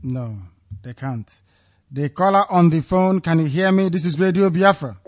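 A man's voice: on the high side, normal speed. Man hosting a lo-fi call-in radio show. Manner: firm, declaring, repeatedly hailing callers. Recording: dull lo-fi radio audio.

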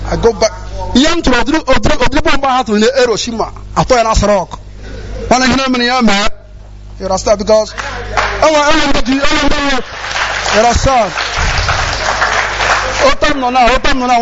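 A man's voice: extremely high pitch, background noise. A man giving an impassioned personal testimony, agitated and repeating himself from Nigeria's southeast. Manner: agitated, repetitive, often asks for understanding. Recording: dull lo-fi sound with music behind.

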